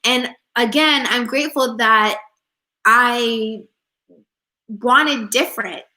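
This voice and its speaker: A woman's voice: fairly high pitch, takes it slowly, pushed and bright, rough recording. A woman in her twenties chatting casually in a livestream, answering viewer questions in an upbeat way with lots of "like"s. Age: 20s. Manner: chatty and upbeat, many "like"s, reads out viewer comments. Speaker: spiritual coach and vlogger.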